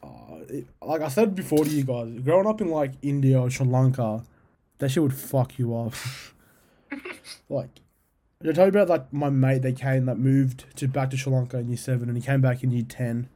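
A man's voice: mid-range voice, brisk, warm tone. A young Australian man hosting a casual podcast with friends, rambling and swearing with lots of fillers. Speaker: podcast host. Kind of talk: casual chat among friends.

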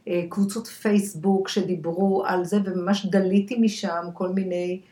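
An Israeli woman speaking as an expert guest in a conversational podcast interview, halting and repeating words for emphasis as she searches for phrasing. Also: on the low side, level tone, dark tone. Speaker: guest expert, clinical dietitian. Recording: echoey room.

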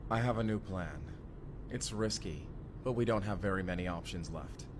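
Man acting a low-voiced, determined sci-fi hero. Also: normal speed, noisy background, lightly acted. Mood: urgent, planning. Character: urgent, determined male time traveler, protective of his partner. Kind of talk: immersive audio roleplay drama acting.

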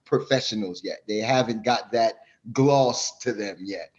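A man's voice: on the low side, measured pace, highs cut off. A Jamaican-born man talking casually as a podcast guest, explaining things at length in an easygoing way.

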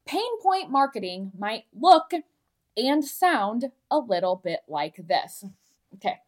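A woman's voice: a little high, sing-song, slow. A woman with a lisp, hosting a solo podcast as a coach, talking in a frank, emphatic, conversational way and swearing freely. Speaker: podcast host and marketing coach. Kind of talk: candid solo podcast talk.

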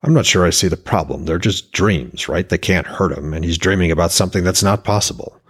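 A man's voice: deep voice, a little fast, slightly rough. A man voicing an impatient, boastful warrior god in a fantasy story, blunt and dismissive.

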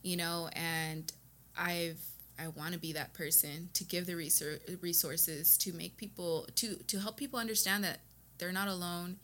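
An American woman from Texas with a bright, slightly low voice. She speaks as a podcast guest in a casual, conversational way. Her delivery is informal and earnest, and she repeats words for emphasis. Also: steady tone, normal speed. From Texas, United States. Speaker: podcast guest, beauty business owner and educator. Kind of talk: casual conversational interview, personal storytelling.

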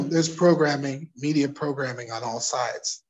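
Interview guest: New York man, husky mid-pitched voice, reflective and halting. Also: poor audio. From Brooklyn, New York. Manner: thoughtful, hesitant, with restarts and soft laughs. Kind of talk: casual interview conversation.

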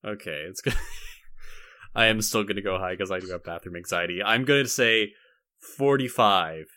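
A man's voice: lowish voice, moderate pace, sharp tone, no room sound, clean studio sound. A man co-hosting a podcast, talking in a casual, comedic, conversational style. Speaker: podcast co-host.

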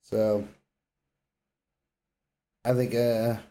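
A man's voice: fairly low pitch, flat delivery, drawn-out words, warm tone. A man co-hosting a podcast with casual, slangy, laid-back banter. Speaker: podcast co-host.

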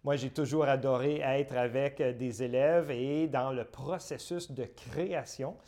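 Measured middle-aged man. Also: medium pitch, steady tone, warm tone. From Northern Ontario, Canada. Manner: measured, list-like phrasing with frequent "euh". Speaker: guest, community centre director. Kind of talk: interview answers.

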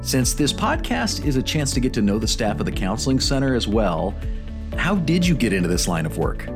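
A man's voice: mid-range voice, big pitch swings, fairly quick, over music. A man hosting a podcast interview, friendly, who reads guest intros and asks questions. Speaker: podcast host.

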